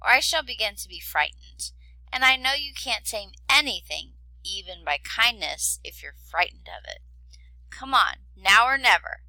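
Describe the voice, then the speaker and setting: Eager young princess, high and bossy, lively, woman reader. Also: moderate pace, no room sound, exaggerated voice, poor audio. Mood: determined.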